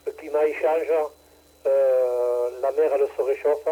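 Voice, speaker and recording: A man's voice: medium pitch, fairly even intonation, a little slow, mellow, rough recording. A man running a ham radio net, chatting casually and hesitantly with many fillers, from southern France. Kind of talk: casual on-air radio chat.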